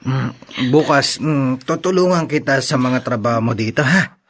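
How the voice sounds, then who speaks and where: A man's voice: medium pitch, expressive, moderate pace. A man playing a tipsy, carefree young husband in a Tagalog radio drama, coaxing and drowsy.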